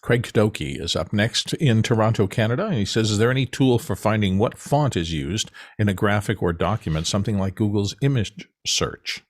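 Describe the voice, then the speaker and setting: Low-voiced man reading out questions. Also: expressive, a little fast, few pauses, no room sound. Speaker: panel member reading out audience questions. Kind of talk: reading questions aloud on a live show.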